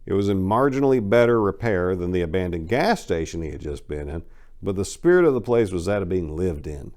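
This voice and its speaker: A man's voice: deep voice, expressive, fairly quick, darkish tone. A man hosting and narrating scripted dramatic readings in a theatrical, emphatic way. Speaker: channel host and story narrator.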